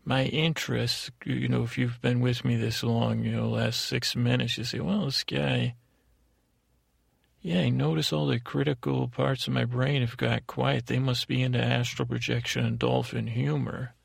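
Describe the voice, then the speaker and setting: Guy with a super gravelly, fry-heavy voice doing a meandering bedtime monologue, kinda flat, real dry close sound. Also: mid-range voice, moderate pace, no room sound. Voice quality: soothing, lulling tone. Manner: hesitant, digressive, self-deprecating. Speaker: sleep podcast host.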